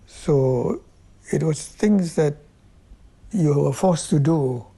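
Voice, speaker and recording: An elderly man from Singapore speaks slowly and deliberately in a documentary interview. His voice is gravelly and dark, slightly husky. He pauses between phrases as he remembers. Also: fairly high pitch, lively intonation. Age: 80s. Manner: slow, deliberate recollection. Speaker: interviewee recalling wartime.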